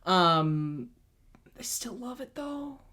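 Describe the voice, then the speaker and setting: Enthusiastic woman gushing in casual chat. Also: mid-range voice. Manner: enthusiastic, opinionated, quick bursts of excitement. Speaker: podcast co-host.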